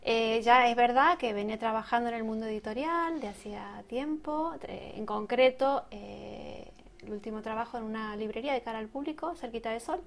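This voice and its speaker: A woman's voice: on the high side, normal speed, vocal fry, a little hiss or hum. A woman from Argentina, co-hosting a casual podcast chat and often searching for words and repeating herself. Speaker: bookseller and podcast co-host.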